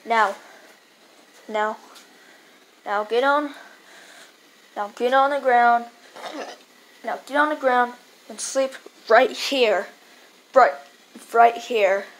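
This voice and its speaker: A young performer playing a grumpy, tired father who scolds his son in a homemade skit, gruff and repeating his orders. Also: mid-range voice, extremely slow, long pauses, slight background noise, poor audio.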